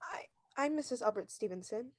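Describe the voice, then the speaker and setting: A woman's voice: fairly high pitch, animated, fairly quick, darkish tone. An actress playing a neurotic, bedridden woman who is agitated, pleading and self-pitying, in a 1940s radio thriller style. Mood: anxious, fretful. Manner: agitated, pleading, breaking into outbursts.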